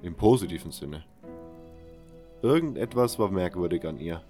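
A man playing a wary, brooding nameless convict who narrates a fantasy audio drama in a measured way. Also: deep voice, animated, warm tone, faint music behind. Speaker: first-person narrator.

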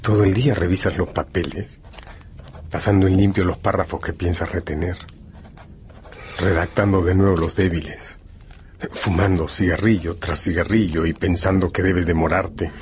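A man's voice: low voice, steady tone, normal speed, a little mellow, a little hiss or hum. A man narrating a radio drama in a scripted, dramatic storytelling style. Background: music.

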